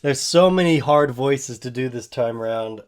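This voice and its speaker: A man's voice: mid-range voice, moderate pace. A man chatting casually between takes as an amateur voice performer, flustered and self-mocking.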